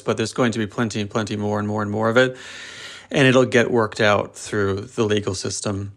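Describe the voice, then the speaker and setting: A man with a lowish voice, speaking as a guest on a panel. He is wry and emphatic, and he piles up his points in long running sentences. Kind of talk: panel discussion.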